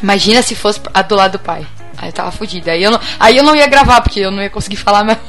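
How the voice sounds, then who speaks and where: Hoarse woman co-hosting a chat. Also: medium pitch, very fast, soft background music. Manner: laughs often, relaxed banter. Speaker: podcast co-host. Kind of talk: casual chat and sponsor read.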